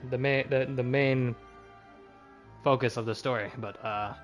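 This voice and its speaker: A man livestreaming a game with casual, halting commentary as he thinks aloud. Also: medium pitch, fairly even intonation, faint music behind.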